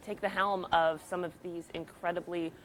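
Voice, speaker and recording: A young woman hosting an interview, speaking eagerly but haltingly, with many restarts. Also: on the low side, level tone, a little hiss or hum, low-quality recording. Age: young adult. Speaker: interview host. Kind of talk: interview conversation.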